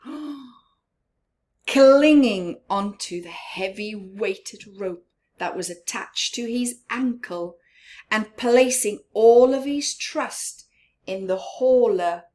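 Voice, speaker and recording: A woman reading a picture book aloud to young children, dramatic and expressive, with simple asides and questions to the listener. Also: a little high. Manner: slow, simple child-directed phrasing. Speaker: storyteller for children.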